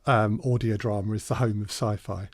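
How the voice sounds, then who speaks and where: A man's voice: on the low side, steady tone, moderate pace, no room sound, clean studio sound. A man hosting a workshop as a conversational interview, informal and feeling his way through his phrasing.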